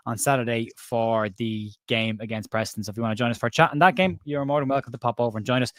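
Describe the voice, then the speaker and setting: A man's voice: medium pitch, steady tone, quick pace, dry recording, clean studio sound. An Irish man from Ireland hosting a casual football chat over a live stream, rambling and chatty, often interrupting himself. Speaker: podcast host. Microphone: remote stream call.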